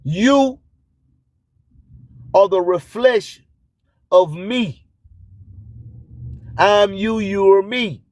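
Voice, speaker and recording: A male radio host speaks in a high voice at a slow, deliberate pace. He delivers motivational spoken word with preachy emphasis, returning to catchphrases. The sound is close and dry. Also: no echo.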